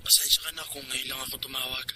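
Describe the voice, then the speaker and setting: A man's voice: medium pitch, flat delivery, quick pace, lightly acted. A man playing a guilt-ridden young lover in a radio drama, hesitant and earnest. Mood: guilty, sorrowful. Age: young adult. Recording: radio drama with music behind.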